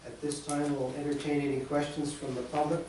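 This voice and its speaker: A man chairs a town council meeting, reading motions and calling for votes in a brisk procedural rhythm. He sits well back from the microphone in a hard, heavily echoing chamber, with a steady hiss of room noise behind him. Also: on the high side. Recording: echoey chamber, distant mic, background noise.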